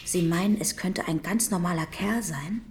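A woman's voice: on the low side, moderate pace, a little mellow, a little hiss or hum, lightly acted. An actress playing an anxious, uneasy townswoman in a radio drama dialogue. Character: anxious townswoman afraid of a murderer at large.

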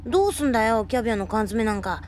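A woman's voice: on the high side, animated, quick pace, a little mellow, a little hiss or hum, natural voice. A woman playing a cheeky, streetwise young boy with brash slang in a Japanese audio drama. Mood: grumpy, impatient. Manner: brash, rough boyish slang.

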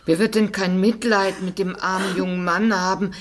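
An actress playing an outspoken, good-hearted maidservant in a German radio drama, plain-spoken and full of exclamation. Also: female voice, mid-range voice, little pitch movement, moderate pace, close to an everyday voice. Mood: pitying.

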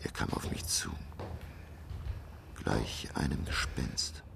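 An actor playing a grave, shaken professor, narrating his story in a measured, brooding way for a radio play. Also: very deep voice, animated, creaky, background noise, exaggerated voice. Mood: hushed, brooding. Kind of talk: dramatic first-person radio play narration. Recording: music behind the voice. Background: music.

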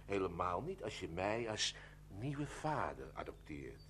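Radio drama: florid, insistent busybody, stammering and repetitive, extremely animated intonation. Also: male voice, low-pitched, unhurried, slight background noise, exaggerated voice. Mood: wheedling. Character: pompous, stammering, pushy stranger offering himself as father. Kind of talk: Dutch radio play acting.

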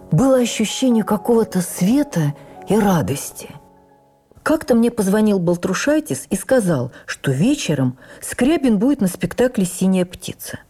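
An actress playing a stage actress who recalls her youth with admiring wonder, in measured, literary, expressive radio narration. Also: fairly low pitch, breathy edge. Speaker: actress reading a memoir on radio. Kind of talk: dramatic first-person memoir narration for radio.

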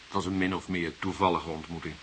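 An actor playing a young journalist in a Dutch radio drama, polite and hesitant, evasive with a wry, cheeky streak. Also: male voice, low voice, flat delivery, fast, fairly bright tone. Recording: hissy old radio play recording.

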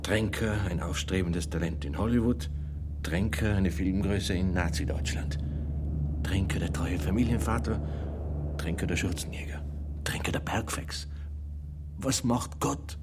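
Noisy ambience surrounds a throaty, low male voice with a Tyrolean accent. a devout, troubled mountain film star and born storyteller in a radio drama. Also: unhurried. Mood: anguished, praying. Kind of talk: radio play acting.

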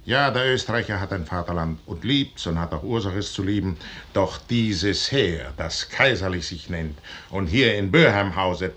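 An actor playing a proud, brooding middle-aged general and duke in grave, declamatory classical German verse. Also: male voice, low-pitched. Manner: grave, declamatory, deliberate, with hushed passages. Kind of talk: classical German stage verse, radio drama acting.

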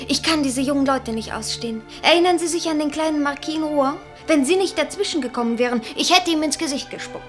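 A woman's voice: fairly high pitch, close to an everyday voice. An actress playing a very young girl in love, adoring and defiant, speaking fervently and insistently in a German radio drama.

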